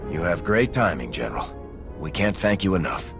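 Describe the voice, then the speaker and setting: Game colonist: low-voiced, sincere, careful farmer, measured delivery, prominent music bed. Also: male voice, big pitch swings. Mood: concerned, grateful. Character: earnest, cautious male colony farmer in space fantasy. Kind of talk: scripted video game acting.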